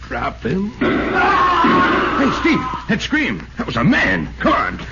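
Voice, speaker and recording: A brash, animated radio ballplayer. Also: male voice, very high voice, slow, full character voice. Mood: alarmed. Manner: brash, slangy, emphatic. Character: hearty, big-hearted star ballplayer, brash but loyal. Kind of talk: 1940s radio drama acting. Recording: dull, lo-fi old radio, faint noise.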